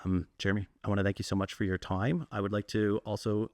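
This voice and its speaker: A man's voice: lowish voice, flat delivery, fast, dry recording, studio quality. A man hosting a local interview, asking casual questions in an easygoing manner. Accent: Canadian English. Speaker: interviewer, channel host.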